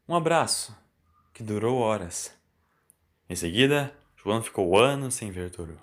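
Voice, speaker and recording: A man with a Brazilian accent reading a scripted story as its narrator. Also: medium pitch.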